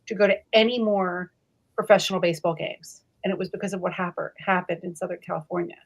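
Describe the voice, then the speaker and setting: A woman's voice: fairly low pitch, steady tone, quick pace, creaky, low-quality recording. A woman hosting a casual book club chat, effusive and fond of telling anecdotes. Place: live online video chat.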